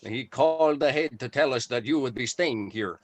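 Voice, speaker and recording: A man's voice: medium pitch, moderate pace, dry recording, lightly acted. A man in an informal table read playing a mild-mannered, matter-of-fact park ranger and guide. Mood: polite.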